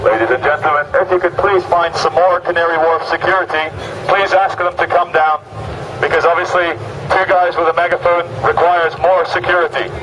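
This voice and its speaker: A man with a fairly high voice blares mock-official announcements through a megaphone. He stays deadpan as a street performer, with clear outdoor noise and vehicles behind him. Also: fairly quick. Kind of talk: mock public announcements to passers-by. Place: outdoors on a busy city street.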